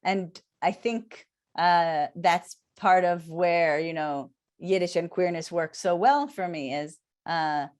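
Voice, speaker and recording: Woman, Hebrew, lowish voice; thoughtful, hesitant delivery on a video call. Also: darkish tone. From Israel. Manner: thoughtful, halting, many fillers. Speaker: academic interviewer. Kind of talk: reflective conversation.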